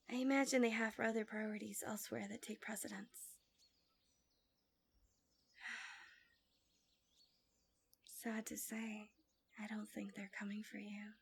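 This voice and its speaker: Soft, high-voiced, possessive villainess played by a woman. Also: steady tone, slow, vocal fry, pauses often, husky, exaggerated voice. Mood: feigned regret. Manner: soft, coaxing, often dropping to a whisper. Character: smug, possessive female villain doting on a captive. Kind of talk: ASMR fantasy roleplay.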